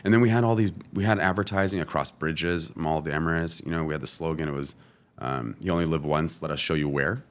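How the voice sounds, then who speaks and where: Guest, man from California; very deep voice, easygoing, loose and filler-heavy delivery. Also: fast. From California, USA. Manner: relaxed, lots of "you know" fillers. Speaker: guest, entrepreneur. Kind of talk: casual interview.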